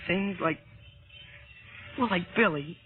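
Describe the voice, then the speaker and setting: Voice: mid-range voice, slow, close to an everyday voice. A performer playing an earnest, loyal, anxious young son, pleading hesitantly in an old-time radio drama. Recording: tinny old radio broadcast, music behind.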